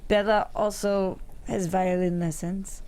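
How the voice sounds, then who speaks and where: A woman's voice: mid-range voice, flat delivery, takes it slowly, a little mellow, clear, resonant tone. Woman acting a demanding immigrant Russian wife. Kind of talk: table read acting.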